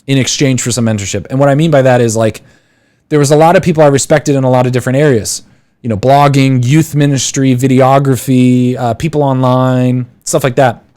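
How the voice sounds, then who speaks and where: A man's voice: mid-range voice, steady tone, fairly quick, a little mellow. A man in his thirties hosting a live call-in show, giving casual advice in a rambling way with many fillers and restarts. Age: 30s. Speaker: podcast host.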